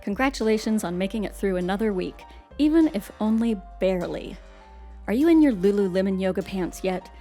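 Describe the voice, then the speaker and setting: A woman's voice: mid-range voice, animated, brisk, dark tone, soft background music. A woman hosting a horror show in a scripted, tongue-in-cheek, teasing way. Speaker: host.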